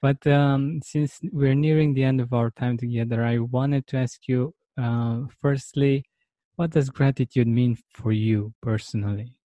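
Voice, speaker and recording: Slow, mellow-voiced male host. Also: medium pitch, steady tone, no room sound, highs cut off. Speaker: podcast host. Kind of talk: casual interview conversation.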